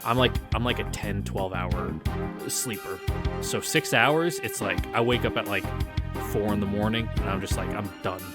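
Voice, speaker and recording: A man with a slightly low voice hosts a visual novel read-through. He sounds laid-back and rambling, switching between casual chat and reading aloud, with clear game music behind him. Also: normal speed. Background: game background music.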